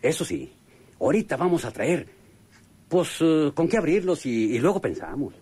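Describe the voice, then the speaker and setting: Husky, excitable Mexican rustic bandit. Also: male voice, fairly high pitch, moderate pace. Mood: scheming, pleased. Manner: colloquial, exclamatory, repetitive. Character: excitable, boastful rustic male treasure-hunting bandit. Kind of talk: radio drama acting.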